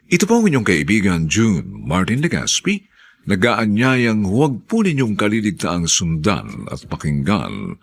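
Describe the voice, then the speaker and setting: A male radio drama host reads the closing credits formally. His voice is low, gravelly and husky, and he delivers slowly with very wide swings in pitch. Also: a bit bright.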